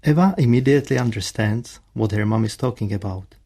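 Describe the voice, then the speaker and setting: A man's voice: fairly low pitch, brisk, gravelly, mellow, husky. A man reading scripted narration as the narrator of a radio play.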